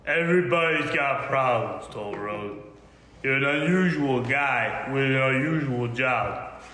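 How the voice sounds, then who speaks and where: Man, sharp bright tone, podcast table read, casual banter, echoey reverberant room. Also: medium pitch, measured pace, slight background noise. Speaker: podcast co-host reading a part.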